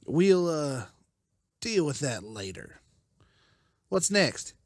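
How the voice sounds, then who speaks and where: A man's voice: a little high, sing-song, extremely slow, noisy background, full character voice. A man playing a wary, commanding leader of a group of survivors in a comic-book drama, decisive and guarded. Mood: practical.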